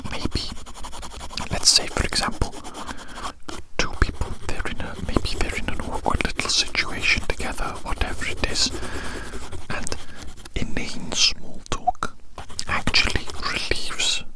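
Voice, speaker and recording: Close-up whispering ASMR man. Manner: whispers throughout, repeats phrases slowly and reflectively. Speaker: ASMR video creator. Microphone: very close ASMR microphone. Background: scratchy drawing sounds.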